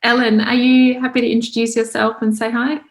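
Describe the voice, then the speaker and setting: A woman hosting an online meeting in an upbeat, encouraging way. Her voice has a gravelly, creaky edge over the video call. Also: fairly high pitch, level tone, brisk. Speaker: host.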